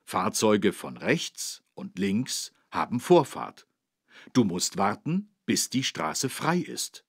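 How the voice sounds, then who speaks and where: A man's voice: fairly low pitch, huge pitch swings, slow, gravelly, breathy edge, no room sound, clean studio sound, exaggerated voice. A man playing a kindly policeman who patiently and emphatically explains things to children in an educational game. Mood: patient, instructive. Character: kindly, careful policeman teaching children road safety.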